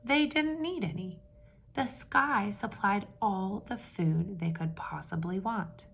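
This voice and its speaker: A woman reading a picture book aloud to children with lively expression, pausing to ask her listeners questions in hushed asides. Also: mid-range voice, creaky, slightly rough. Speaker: read-aloud storyteller for children.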